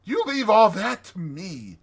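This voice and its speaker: A man voicing a hearty, masterful water rat gentleman in a storybook, with a brisk, commanding manner. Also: high voice, sing-song, breathy edge, voice pushed up, full character voice.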